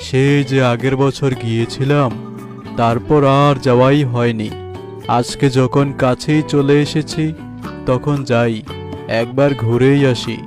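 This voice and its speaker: An actor playing a lazy, meat-loving tiger in a cartoon, with a leisurely drawl. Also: male voice, mid-range voice, fairly even intonation, takes it slowly, over music, close to an everyday voice. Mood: idle musing. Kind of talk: cartoon voice acting.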